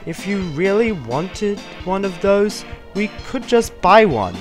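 Patient pony father for a fan-story reading male performer, mild, placating, lifted pitch. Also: big pitch swings, slow, over music, full character voice. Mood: patient, coaxing.

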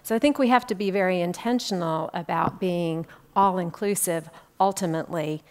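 A woman in her fifties, a clinician, speaking earnestly and deliberately on a conference panel through a headset mic. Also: lowish voice. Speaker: clinician and former industry panelist. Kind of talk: panel discussion. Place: indoor conference stage.

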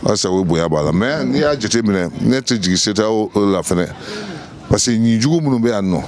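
A man's voice: lowish voice, expressive, brisk, darkish tone. A middle-aged man with a Malian accent giving his opinion outdoors in a street interview, emphatic and full of hesitations, speaking into a handheld mic. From Mali. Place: outdoors in a courtyard. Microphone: handheld mic held by interviewer.

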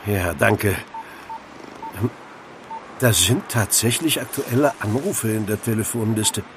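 A man's voice: on the low side, expressive, takes it slowly, background noise. An actor playing a brusque, irritable male police detective in an audio drama, with a commanding manner. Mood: brusque, businesslike. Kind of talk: German radio play acting.

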